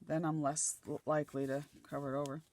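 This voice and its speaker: A middle-aged woman from Nova Scotia chats as she crafts, thinking aloud as she places each piece. Her voice is slightly croaky and dry. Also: low-pitched, level tone, fast talker, a little mellow, no room sound. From Nova Scotia, Canada. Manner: chatty, thinking aloud while gluing collage pieces. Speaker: craft channel host. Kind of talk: casual crafting chat.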